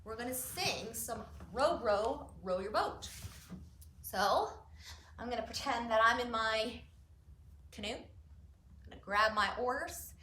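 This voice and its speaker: A woman leads a story time for young children in a high, bright voice. She talks and reads to her little listeners in an animated manner. The recording has a roomy echo around her voice. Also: takes it slowly, long pauses, a little hiss or hum. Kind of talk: children's story time, talking and reading aloud to young kids. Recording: roomy echo, faint music.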